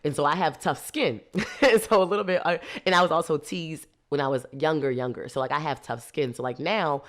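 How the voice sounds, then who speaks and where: A woman, hosting a podcast and explaining ideas in a lively, laughing, casual way. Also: deep voice, a little fast. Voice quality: nasal. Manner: lively, laughs a lot, teasing. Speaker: podcast host. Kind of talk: casual teaching talk.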